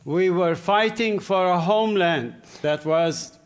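A man from the Gaza Strip giving a church testimony in short phrases, pausing for an interpreter. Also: high-pitched. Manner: speaks in short phrases with pauses for translation. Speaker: guest speaker. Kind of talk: testimony talk with live interpreter.

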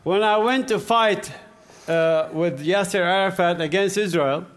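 A man from the Gaza Strip giving a church testimony in short phrases, pausing for an interpreter. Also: high-pitched. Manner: speaks in short phrases with pauses for translation. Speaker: guest speaker. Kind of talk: testimony talk with live interpreter.

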